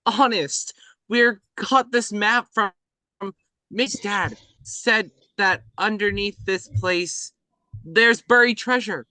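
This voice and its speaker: A woman's voice: medium pitch, takes it slowly, sharp tone, dry recording, poor audio. A woman reading the part of a frightened, rambling young boy held captive, nervous and blurting.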